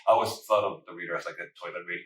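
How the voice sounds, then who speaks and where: A man's voice: deep voice, fairly even intonation, very fast, fairly bright tone. A man in his thirties from Mexico, chatting casually in an interview with lots of fillers. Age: 30s. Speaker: photographer and writer. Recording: roomy, distant mic.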